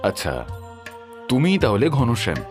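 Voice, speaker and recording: A man acting an expressive, trusting landlord. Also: medium pitch, normal speed, over music, lightly acted. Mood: genial, commanding. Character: kindly, trusting wealthy landlord, devoted husband. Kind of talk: melodramatic cartoon horror-story acting.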